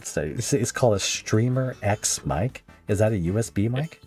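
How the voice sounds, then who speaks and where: A man's voice: lowish voice, lively intonation, moderate pace, darkish tone, soft background music. A man hosting a casual interview, speaking informally with somewhat hesitant phrasing. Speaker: podcast host, interviewer.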